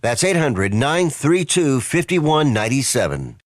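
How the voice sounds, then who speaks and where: A man's voice: mid-range voice, big pitch swings, a little slow. A man with an American accent reading a scripted radio advertisement as an announcer. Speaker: commercial announcer.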